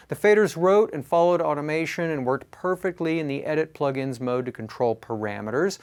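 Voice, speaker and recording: A man presenting a scripted product review in a clear, measured, instructional way, leaning on the openings of his phrases. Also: a little high.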